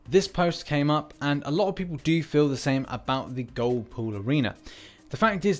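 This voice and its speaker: A man hosting casual gaming news commentary in a conversational, slightly rambling manner. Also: fairly high pitch. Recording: voice-over with music behind.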